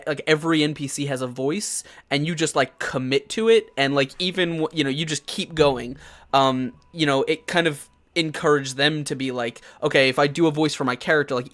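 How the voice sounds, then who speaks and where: A young man hosts a live stream in a loose, enthusiastic way and swears freely. His voice sits a bit high for a man. He trails off and restarts often as he chats. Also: fairly bright tone. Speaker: host of a tabletop game talk show. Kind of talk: casual live-stream chat.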